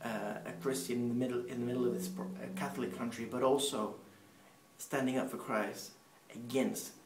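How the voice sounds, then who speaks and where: Man, 30s, English accent tinged by Peruvian Spanish, measured testimony, echoey room. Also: medium pitch, fairly even intonation, a little hiss or hum. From Peru. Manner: unhurried, pausing, with restarts. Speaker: speaker sharing family testimony. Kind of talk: personal faith storytelling. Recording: roomy sound from a distant mic.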